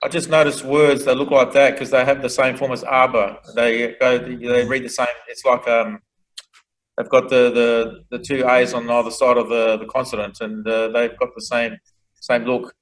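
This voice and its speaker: A man's voice: mid-range voice, level tone, moderate pace, poor audio. A man in a video-call study group asking a question, hesitant with many fillers and restarts.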